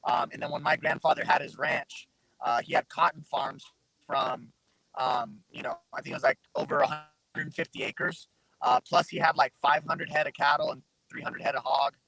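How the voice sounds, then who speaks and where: A man's voice: a little high, vocal fry, bright, forceful tone, no echo, low-quality recording. Laid-back man rambling on a call. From Southern Arizona, USA. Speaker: guest, MMA fighter. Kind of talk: casual interview chat. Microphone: remote call mic with popping.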